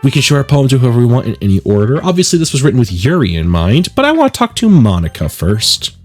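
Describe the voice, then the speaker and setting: A man's voice: mid-range voice, big pitch swings, fast talker. A man hosting a let's play, reading a visual novel aloud with casual commentary over music.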